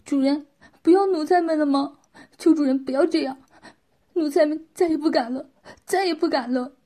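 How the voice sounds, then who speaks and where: A woman's voice: high voice, normal speed, warm tone, pitched above the performer's own voice. A woman voicing a timid, submissive servant who pleads and stammers in an audiobook story. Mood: begging. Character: grovelling, timid servant begging the master.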